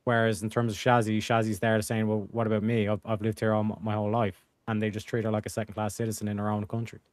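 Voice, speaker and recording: Guy rattling on quick with lots of likes, low flat voice. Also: no echo. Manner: rapid, rambling with many fillers. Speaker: panel guest. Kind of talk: casual panel discussion.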